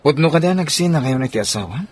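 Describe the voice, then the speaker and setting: A man's voice: fairly high pitch, expressive, quick pace, slightly rough. A man playing an earnest suitor courting a separated woman in an Ilocano radio drama. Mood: earnest, probing.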